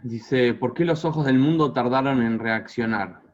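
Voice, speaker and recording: A man reading out audience questions in a plain, steady way. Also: mid-range voice, normal speed. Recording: dull lo-fi sound.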